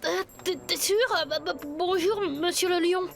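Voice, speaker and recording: A woman voicing an excitable, nervous young boy sidekick in a French cartoon. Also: very high-pitched, normal speed, vocal fry. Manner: excitable, stammering when nervous.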